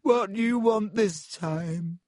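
A man's voice: sits high, slow, a little mellow, dry recording, studio quality, voice pushed up. A man voicing a self-pitying ghost of a teenage schoolgirl, wailing and dramatic, relishing her gloomy tale. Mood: sulky.

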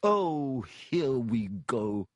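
A man's voice: a little high, drawn-out words, mellow, no echo, muffled recording, pitched above the performer's own voice. A man voicing a proud, mournful, courtly male ghost in an audiobook, stately and plaintive. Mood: bitter.

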